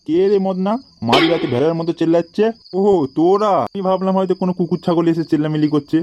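A man's voice: on the high side, quick pace, darkish tone, dull audio, lightly acted. A man voicing a crotchety, protective old village grandfather in an amateur comedy cartoon, grumbling as he talks. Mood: grumbling, scolding. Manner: grumbling, scolding.

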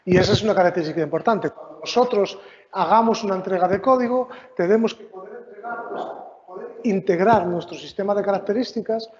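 A man with a high voice explains technical ideas to a room. He checks in with listeners as he talks. The recording is dull, with faint background noise and a long hall echo. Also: fairly quick, a little mellow. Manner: explanatory, frequent filler checks. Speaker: meetup speaker. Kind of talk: technical conference talk. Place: large meeting room.

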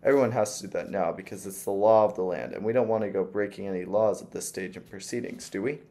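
A man's voice: fairly low pitch, fast, dark tone, close to an everyday voice. A man voicing a gushing, fussy older landlady who is sweetly sinister, with a doting, sugary manner.

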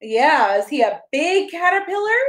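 A woman in her thirties reading a picture book aloud to a toddler, expressive and encouraging, coaxing the child along with questions. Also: sits high, moderate pace, roomy sound. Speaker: pastor reading a children's story. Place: small carpeted room at home, indoors. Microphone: camera a step or two away.

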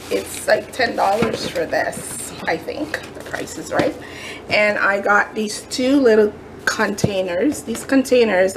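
Lady doing a craft haul at her kitchen counter, slow and gushy, lots of um and oh my god, noisy background. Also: medium pitch, vocal fry. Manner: gushing, excitable, many fillers and "oh my god". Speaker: craft vlogger showing a shopping haul. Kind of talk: casual haul chat. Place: home kitchen counter, indoors.

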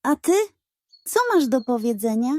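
Woman playing a gruff old warrior chief. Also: normal speed, dark tone, no echo, studio quality, lightly acted. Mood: challenging. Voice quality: low, hoarse. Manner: commanding, gruff. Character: old battle-scarred warrior chief beast, stern and suspicious. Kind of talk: children's audio story.

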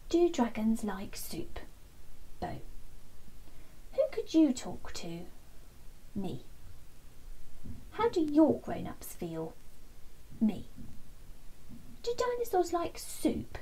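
A woman in her thirties reading a children's storybook aloud in an expressive, gentle bedtime manner. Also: high-pitched, drawn-out words, pauses often, husky, low-quality recording. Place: small indoor den with fabric and soft toys.

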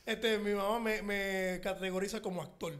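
A man's voice: high-pitched, fairly even intonation, normal speed, a bit bright. A man, a guest comedian, talking hesitantly with lots of fillers and laughter. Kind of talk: casual comedic chat.

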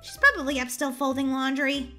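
A woman voicing an excitable, entitled young girl in a children's game roleplay, with an exaggerated, dramatic delivery. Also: sits high, fairly quick, faint music behind. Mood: casual, puzzled.

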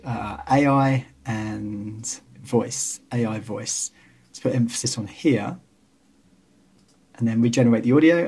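A man with a husky voice narrating a software tutorial in a casual, explanatory way. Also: mid-range voice. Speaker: tutorial host.

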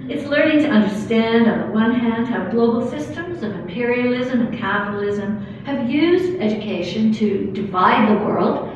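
An older woman reads her academic talk from notes in a measured, careful way. Her voice sits a little high. The recording is very roomy and distant, with a faint hiss under it. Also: dull audio. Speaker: academic speaker. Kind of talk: reading a prepared lecture. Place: lecture hall at a lectern. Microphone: lectern microphone. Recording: echoey hall, camera far off.

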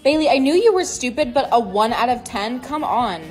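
A woman's voice: fairly high pitch, lively intonation, fairly quick, a little mellow, faint music behind, natural voice. A woman acting confident, blunt female characters in a scripted comedy skit. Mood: scolding.

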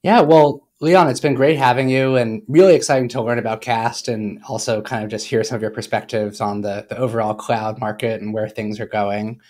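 A man's voice: mid-range voice, fairly even intonation, normal speed, hardly any pauses, a little mellow. A young man hosting an interview over a video call, asking friendly, upbeat questions through earbuds. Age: young adult. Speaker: podcast host.

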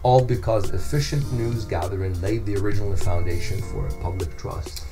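A man's voice: on the low side, normal speed, music in the background, natural voice. A middle-aged man playing a modest, earnest journalist being interviewed on a scripted podcast. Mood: earnest explaining. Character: modest, earnest male wire-service journalist. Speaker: guest journalist. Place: small furnished podcast studio. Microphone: studio microphone on a boom arm.

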